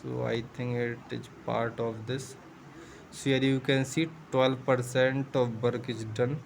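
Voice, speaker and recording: Slow, flat-voiced man explaining code. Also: mid-range voice, gravelly, slight background noise, low-quality recording. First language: not English. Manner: patient step-by-step explaining, thinks aloud. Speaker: programming tutor. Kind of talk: screen-share coding tutorial.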